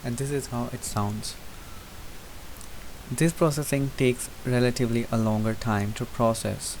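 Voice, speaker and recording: A man giving an unscripted, methodical software tutorial, explaining each step as he goes. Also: mid-range voice, measured pace. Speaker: presenter demonstrating software. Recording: hissy recording with steady background noise. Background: hiss.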